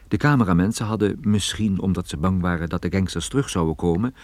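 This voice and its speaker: An actor playing a wry private detective who narrates his own story in a measured, scripted radio drama style. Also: low voice, a little mellow, dry recording. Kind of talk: scripted first-person radio play narration.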